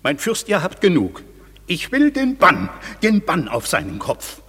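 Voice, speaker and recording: A vehement old nobleman, a father in a stage-style radio drama, his husky voice leaping wildly from low growls to high outbursts. The words ring with long reverb, as if spoken in a large hall. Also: wildly swooping pitch, measured pace, a bit bright, heavily acted. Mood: furious. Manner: vehement, demanding punishment. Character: stern aging father, nobleman, quick to anger. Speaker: father of a young woman. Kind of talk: classic radio play acting.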